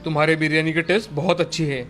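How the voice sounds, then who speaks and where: A man voicing an important hotel-owning gentleman in a story, eager and appreciative. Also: on the high side, little pitch movement, fast talker, soft background music, natural voice.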